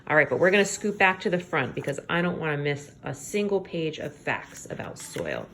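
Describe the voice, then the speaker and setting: A woman teacher reading aloud to young children, expressive, pausing to explain things simply and check in with her listeners. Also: low-pitched. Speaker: elementary school teacher.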